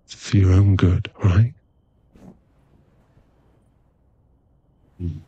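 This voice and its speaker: A man playing an affectionate, teasing werewolf boyfriend in an intimate ASMR roleplay, speaking in a soft, coaxing, halting way with a husky, gravelly voice. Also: low-pitched, steady tone, muffled recording. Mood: coaxing, bossy. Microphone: close microphone.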